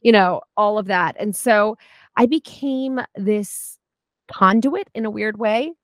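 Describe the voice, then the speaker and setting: A woman in her forties, guest on a chat show, telling a lively story with many restarts and asides. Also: mid-range voice, slow pace, no echo, studio quality. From United States. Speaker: guest, food historian and cooking teacher. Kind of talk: casual interview chat.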